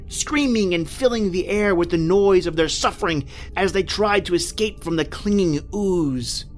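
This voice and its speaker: An actor playing an anguished married man trapped in prehistoric time, telling his horror in melodramatic old-time radio style. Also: high-pitched. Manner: melodramatic, intense, repetitive narration.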